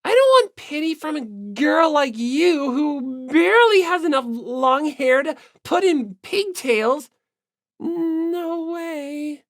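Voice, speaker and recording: A hostile, insecure teenage girl acted by a man for an audiobook. The voice is high and volatile in pitch, full of grumbling, explosive resentment. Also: expressive, slow pace, dry recording, clean studio sound, exaggerated voice. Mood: bitter.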